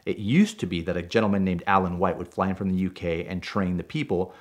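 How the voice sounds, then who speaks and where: Deep-voiced man telling a story. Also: quick pace. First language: Spanish. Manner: animated, chatty storyteller. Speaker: driving instructor. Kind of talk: casual storytelling.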